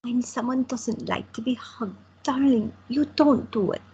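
A woman's voice: a little high, unhurried, dark tone, slightly rough, dull audio, exaggerated voice. An actress playing an elderly grandmother in an audio drama, with dry, affectionate teasing. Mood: chiding. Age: older. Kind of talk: amateur audio drama acting.

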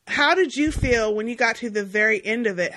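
A woman co-hosting a podcast in a casual, animated, playfully bickering style. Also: a little high, fairly quick, rough recording. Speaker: podcast co-host. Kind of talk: casual, chatty podcast interview.

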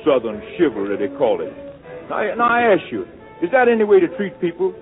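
A skeptical, bitter barroom arguer in an old radio play. His talk is colloquial and sardonic, pitched fairly high, over tinny sound with music behind. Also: wildly swooping pitch, fairly quick. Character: bitter, skeptical Harlem man arguing in a tavern. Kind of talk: 1940s radio acting.

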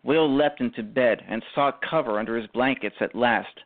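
A man giving dramatic scripted narration for an amateur radio play, halting and repeating phrases after stumbles. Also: medium pitch, no room sound. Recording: tinny, narrow phone-like sound.

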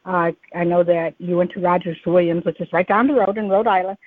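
A woman's voice: fairly low pitch, steady tone, brisk, dry recording. A woman interviewing in a conversational, reflective way, wandering through her thoughts before she gets to the question. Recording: muffled narrow phone-like line.

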